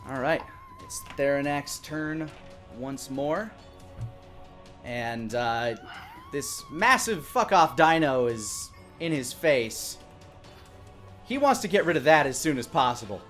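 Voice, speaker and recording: A man's voice: fairly high pitch, wildly swooping pitch, takes it slowly, pauses often, a bit bright. A man in his thirties running a live tabletop game as game master, improvising narration of the action. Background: music.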